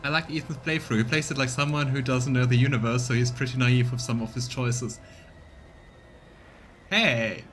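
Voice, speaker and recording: A man's voice: medium pitch, steady tone, moderate pace, a bit bright. A male game streamer with a mid-range voice chats casually and reads out narration. Music plays behind his commentary. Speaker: streamer doing voiced let's play.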